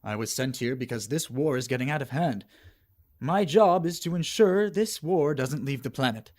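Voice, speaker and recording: A confident alien warrior serving as an aide in an animated story, played by a man. The pitch is average for a male. The delivery is earnest, measured and self-assured. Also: lightly acted. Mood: composed, assured. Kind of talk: amateur animation voice acting.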